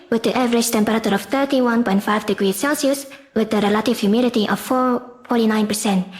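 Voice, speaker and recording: A woman with a fairly high voice narrates a technical lesson from a script. She is formal and methodical, setting out each step in order like a student presenting a project. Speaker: presenter of an engineering class project.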